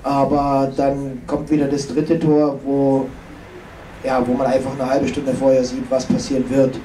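A man in his thirties, a hockey coach giving a sober, halting, self-critical post-game analysis. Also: medium pitch, monotone, mellow. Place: indoor press conference room. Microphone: handheld microphone. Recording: echoey room, distant, faint noise.

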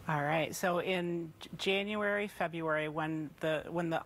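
A woman's voice: low voice, a little slow. A woman guest in a TV studio talking enthusiastically about hiking, on a lapel mic. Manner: enthusiastic, upbeat. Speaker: guest, book co-author. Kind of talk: TV studio interview. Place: TV news studio.

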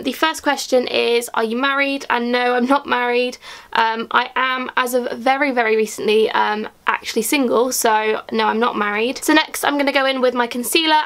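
A woman's voice: a little high, a bit bright. A woman of twenty-six, chatting casually to camera while doing her makeup, rambling with ums and likes. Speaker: beauty vlogger. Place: small room indoors.

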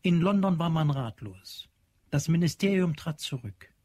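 A man narrating a radio play from a script in a measured, literary, dramatic manner. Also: fairly high pitch, lively intonation, darkish tone, no echo. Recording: dry studio with faint music.

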